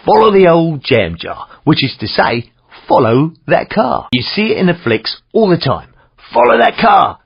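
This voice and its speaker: Gravelly, animated Cockney cabbie. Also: male voice, a little high, normal speed, highs cut off, heavily acted. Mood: instructive, jaunty. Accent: London Cockney. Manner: colloquial slang, wry, theatrical. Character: chatty old London cabbie driving instructor, cheeky and blunt. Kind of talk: scripted game instruction and feedback.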